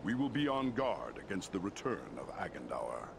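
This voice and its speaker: An actor playing a regal, wise and stern giant eagle lord in a fantasy game, stately and authoritative in delivery. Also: male voice, deep voice, very animated, moderate pace, gravelly, noisy background, heavily acted. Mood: grave, probing. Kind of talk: scripted fantasy game dialogue.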